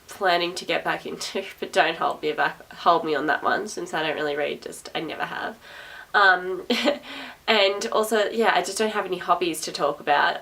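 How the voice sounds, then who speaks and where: Young woman, loud and low, gravelly edge, sarcastic rambling vlogger. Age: young adult. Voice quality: naturally loud, projecting voice. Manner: sarcastic, chatty, repeats herself, laughs often. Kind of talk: rambling casual talk to camera. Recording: voice a little distant from the mic.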